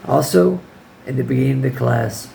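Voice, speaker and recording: A man who teaches English gives casual, firm classroom advice in a hoarse voice that keeps giving out, often checking in with the listener. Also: mid-range voice, normal speed, warm tone, slight background noise, low-quality recording. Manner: informal, firm, checks in with "okay?". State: hoarse, losing his voice.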